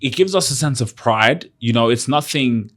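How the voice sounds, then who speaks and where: This is a man in a mid-range voice, a podcast guest in relaxed conversation. He speaks with conviction and circles back to his main points again and again. His speech is loose and conversational, full of "you know" and "like". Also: medium pitch, moderate pace. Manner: passionate, emphatic, repeats key points, frequent "you know" and "like". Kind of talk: casual conversational interview.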